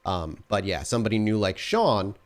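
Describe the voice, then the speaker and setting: Storyteller, male, low-pitched, relaxed and explanatory. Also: normal speed. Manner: relaxed and explanatory, with frequent fillers. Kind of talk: narrating a tabletop horror game.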